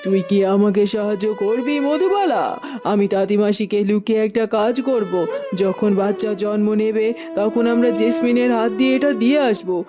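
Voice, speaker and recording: A woman's voice: mid-range voice, normal speed, over music, natural voice. A woman playing a humble, earnest former queen full of repentance in a cartoon melodrama. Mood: pleading.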